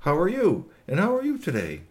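A man's voice: a little high, huge pitch swings, brisk, voice pushed up. A man voicing a friendly, curious little male space alien in a children's story, with exclamatory, quaintly formal phrasing.

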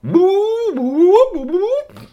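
A man's voice: very high voice, big pitch swings, very slow, mellow, dull audio, voice pushed up, heavily acted. A man voicing an alien crown prince in hooting, whooping syllables for a children's story. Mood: startled.

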